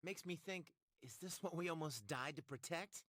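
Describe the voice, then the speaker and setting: A man playing a brash, casual teenage boy in a video game, blunt and expressive in a slangy way. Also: fairly high pitch, normal speed, no echo, studio quality. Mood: disgruntled. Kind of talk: scripted video game cutscene acting.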